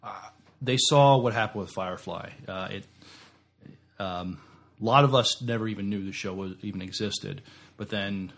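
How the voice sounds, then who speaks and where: A man co-hosting a podcast in casual discussion, halting and often repeating the starts of words. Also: on the low side, creaky, a bit bright. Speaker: podcast co-host.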